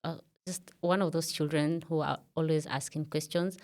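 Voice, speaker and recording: A woman's voice: low voice, steady tone, normal speed, darkish tone. A Kenyan woman around thirty, a podcast guest in a studio, telling her story in a reflective way with many fillers. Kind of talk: casual interview talk.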